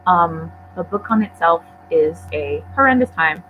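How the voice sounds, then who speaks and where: A woman's voice: on the low side, animated, measured pace. A young woman born in Poland chatting casually on a livestream, answering viewer questions in a rambling, self-deprecating way with many fillers. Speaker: book vlogger. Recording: tinny phone-like livestream audio, faint noise.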